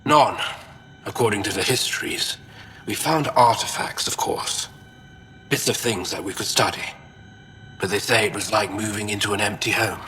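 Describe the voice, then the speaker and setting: A testy alien scholar in a video game, stranded and fed up. His voice is fairly low yet bright and forceful, and he talks in a curt, sardonic, fussy way. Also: moderate pace, long pauses, slight background noise, poor audio. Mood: dry, explanatory. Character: fussy, grumpy male alien historian, stranded and irritable. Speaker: historian. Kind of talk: video game dialogue acting.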